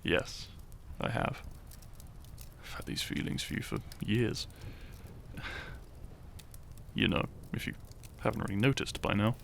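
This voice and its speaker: A man playing a shy, tender young hero in love in a romantic audio roleplay, speaking gently and intimately. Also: on the low side, gravelly, a little hiss or hum. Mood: tender, earnest. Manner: slow, gentle, intimate, halting.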